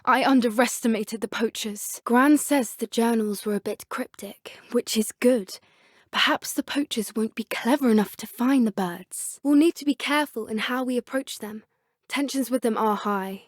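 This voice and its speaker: Woman as a teenage British witch, high voice, earnest, caring, articulate, dry close studio sound, video game dialogue. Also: dry recording, close to an everyday voice. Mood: upset, worried, then grave, concerned. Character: earnest, caring teenage witch schoolgirl who loves creatures.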